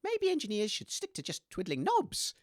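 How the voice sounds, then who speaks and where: A woman's voice: medium pitch, huge pitch swings, moderate pace, no echo, clean studio sound. A woman playing a chirpy, oblivious radio DJ in a comedy audio drama, with a hesitant, theatrical delivery. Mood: jolly presenting. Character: excitable, chirpy, oblivious radio DJ named Dave.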